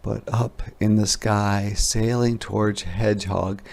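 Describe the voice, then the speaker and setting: A man narrating a children's picture book aloud in a deliberate, expressive storytelling style. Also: lowish voice, gravelly. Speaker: story reader.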